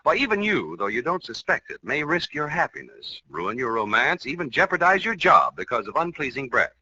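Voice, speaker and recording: A man announcing on 1940s radio, making a polished, persuasive and emphatic advertising pitch. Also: sing-song, fairly quick, sharp tone. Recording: faintly noisy, narrow old radio recording.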